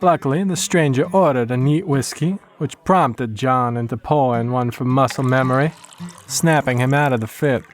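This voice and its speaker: A man giving a scripted first-person western horror narration in measured, folksy storytelling phrases. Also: medium pitch. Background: plucked guitar music.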